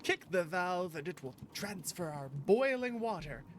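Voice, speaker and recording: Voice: mid-range voice, expressive, measured pace, noisy background, close to an everyday voice. Streamer putting on a theatrical, cheerful tea-making instructor. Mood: cheerful, instructive. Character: cheerful game character patiently explaining tea-making. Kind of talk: reading game dialogue aloud. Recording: music behind the voice.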